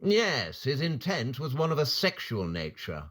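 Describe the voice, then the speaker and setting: An actor playing a brilliant, arrogant, condescending detective in an audio drama, with a clipped, sarcastic, lecturing manner. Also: male voice, mid-range voice, wildly swooping pitch, measured pace, a bit bright, full character voice. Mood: coolly analytical.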